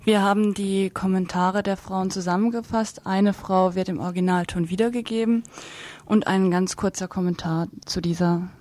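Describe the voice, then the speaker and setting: Mellow-voiced woman announcing on radio. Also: mid-range voice, fairly even intonation, normal speed. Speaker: radio co-host. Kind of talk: programme preview announcement. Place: radio studio.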